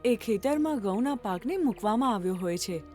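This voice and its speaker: Higher-pitched woman narrating a children's story. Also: animated, brisk, darkish tone. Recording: music bed behind narration.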